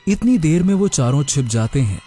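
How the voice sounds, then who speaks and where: Man narrating scary story, slightly high voice, really animated, loud music behind. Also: moderate pace, breathy edge. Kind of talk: dramatic scripted horror narration. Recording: prominent music under the narration.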